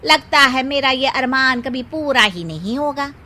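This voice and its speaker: A woman's voice: high voice, expressive, a little slow, sharp tone, full character voice. A woman playing a sarcastic, easily enraged middle-aged mother-in-law in a cartoon moral story, with a theatrical, taunting manner. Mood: sarcastic complaining. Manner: theatrical, taunting, indignant exclamations. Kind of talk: dramatized Hindi moral story dialogue.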